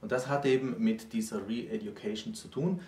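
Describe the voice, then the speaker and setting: A man in his forties explaining history as a co-presenter, in a deliberate, conversational lecture style. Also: fairly low pitch, a little mellow. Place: small indoor room with bookshelves. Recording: roomy echo, distant microphone.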